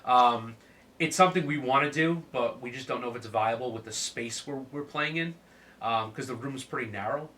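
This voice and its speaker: A man's voice: medium pitch, moderate pace, sharp tone, recorded from a distance. A man hosting a casual chat, sounding stuffed up from a cold, with a laid-back manner. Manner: laid-back, lots of fillers and laughs. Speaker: podcast host.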